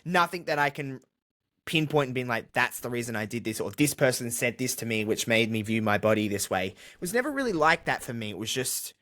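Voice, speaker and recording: A man's voice: medium pitch, very animated, brisk, bright, forceful tone. A man with an Australian accent talking candidly and casually as a podcast guest, with a jokey, self-deprecating streak. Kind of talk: casual conversational interview.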